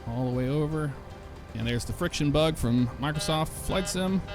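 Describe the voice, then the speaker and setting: A man's voice: fairly high pitch, normal speed, music in the background, low-quality recording. A man hosting a flight sim channel, chatting casually through his flight and reading back radio calls to the controller. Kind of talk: casual gameplay commentary.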